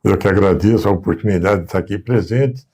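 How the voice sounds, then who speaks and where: A man in his sixties with a Brazilian accent, a guest in an interview, recalling his career at an unhurried pace with many restarts and hesitations. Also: sits low, darkish tone. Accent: Brazilian Portuguese, São Paulo coast. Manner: reminiscing, with frequent restarts and hesitations. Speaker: guest, civil engineer. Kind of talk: casual interview talk.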